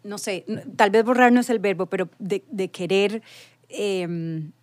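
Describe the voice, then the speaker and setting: A woman's voice: medium pitch, unhurried. A woman with a Costa Rican Spanish accent, a frank panelist who searches for words and uses slang. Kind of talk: casual panel chat.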